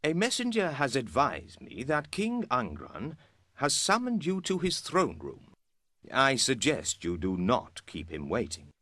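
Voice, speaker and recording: An actor playing a dutiful, cautious military adviser in a fantasy video game, formal and emphatic. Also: male voice, mid-range voice, wildly swooping pitch, measured pace, fairly bright tone, no room sound, exaggerated voice. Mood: brisk.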